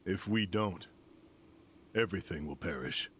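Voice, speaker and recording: An actor playing a grave older general in a video game cutscene, speaking formally and with solemn, measured weight. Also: male voice, deep voice, expressive, slight background noise. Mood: solemn, resolute.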